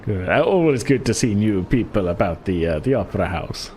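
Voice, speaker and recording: A man's voice: fairly low pitch, expressive, fairly quick, darkish tone, slight background noise, natural voice. A man voicing a vain, grandiose dwarf opera star who greets people effusively, in a tabletop game. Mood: self-satisfied. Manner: grandiose, welcoming, self-adoring.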